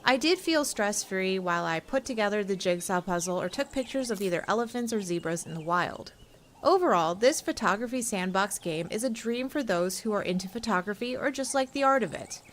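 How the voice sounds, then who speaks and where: A woman's voice: medium pitch, animated, fairly quick, a little hiss or hum. A woman co-hosting a games show, moving between casual chat and scripted review narration. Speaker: show co-host and game reviewer.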